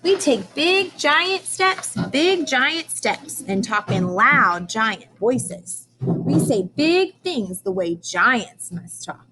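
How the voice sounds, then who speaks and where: A woman's voice: sits high, big pitch swings, takes it slowly, music in the background, low-quality recording. A woman teacher leading a read-aloud lesson for young children, prompting with questions and carefully sounding out key words. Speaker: early-grades teacher.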